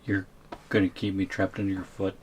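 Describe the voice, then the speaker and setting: A man's voice: deep voice, completely flat delivery, fairly quick, slight background noise, lightly acted, low-quality recording. Man playing a hesitant, wry shrunken boyfriend. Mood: protesting. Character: tiny shrunken captive boyfriend, reluctant and wary. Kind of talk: ASMR audio roleplay.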